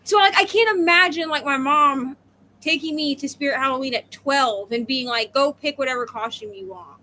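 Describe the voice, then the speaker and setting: A woman co-hosts a relaxed book chat, telling things conversationally with plenty of asides. Her voice is high and bright, and there is slight noise behind it. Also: normal speed, rough recording. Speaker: book discussion co-host. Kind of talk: casual chat, personal anecdotes.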